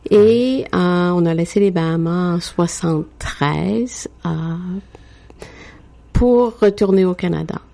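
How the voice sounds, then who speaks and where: Interview guest: woman, 60s, lowish gravelly voice, hesitant storyteller. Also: dark tone. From Quebec. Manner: frequent hesitations with "euh", unhurried. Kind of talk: interview, telling her life story.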